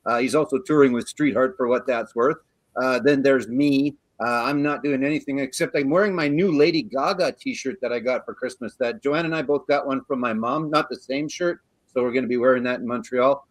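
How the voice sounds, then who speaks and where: A Canadian man hosting a show, chatting casually in a relaxed, rambling way. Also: medium pitch, brisk, no echo, low-quality recording. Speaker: show host. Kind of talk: casual chat.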